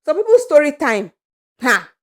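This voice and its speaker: A woman co-hosting a casual podcast chat with friends, telling animated stories and laughing often. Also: high-pitched, moderate pace. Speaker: podcast co-host.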